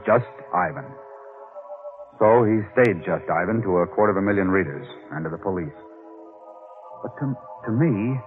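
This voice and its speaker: An actor playing a haunted, obsessive newspaper reporter in an old-time radio mystery, brooding, halting and intense in his delivery. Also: deep voice, close to an everyday voice. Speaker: narrator and lead character. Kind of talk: old-time radio mystery acting, dramatic first-person narration. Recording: muffled vintage radio recording with music.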